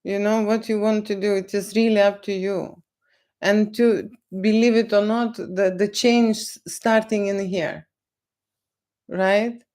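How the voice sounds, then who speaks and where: A woman with a Lithuanian accent, not a native English speaker, answering viewers' questions on a livestream in a slow, lecturing way and tagging "okay?" onto her points. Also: mid-range voice, fairly even intonation, darkish tone. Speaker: photographer on a livestream. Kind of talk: live Q&A chat with viewers.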